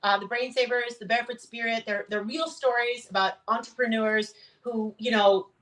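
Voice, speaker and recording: A woman's voice: on the high side, fairly even intonation, moderate pace, a bit bright, rough recording. A woman speaking as a guest voice actor in a casual interview, earnest and reflective, often checking her points with a short tag question. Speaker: guest voice actor, audiobook narrator.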